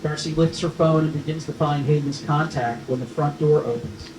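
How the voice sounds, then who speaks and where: A man's voice: on the high side, steady tone, moderate pace. A man reading stage directions as scripted narration at a screenplay table read. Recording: roomy, distant mic, faint noise.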